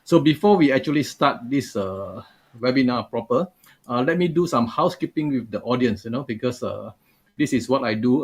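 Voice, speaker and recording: A man's voice: mid-range voice, darkish tone, husky. A man hosting a live webinar over a video call, talking loosely and padding his sentences with "uh" and "you know". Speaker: webinar host.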